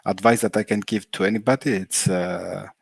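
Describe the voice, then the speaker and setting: A man with a lowish voice, a native Greek speaker raised in Germany, talks in English as a video-call guest. He chooses his words carefully and fills the gaps with small "uh" pauses. The sound is dry. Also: fairly even intonation, creaky, slightly rough, no echo. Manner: careful, unhurried, many "uh" pauses. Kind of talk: casual video-call interview.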